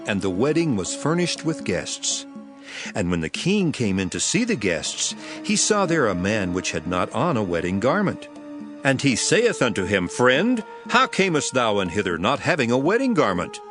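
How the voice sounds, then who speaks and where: A man's voice: medium pitch, wildly swooping pitch, moderate pace, over music. An actor playing a grave, authoritative male teacher in a dramatized scripture reading, speaking solemnly and emphatically. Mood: emphatic storytelling.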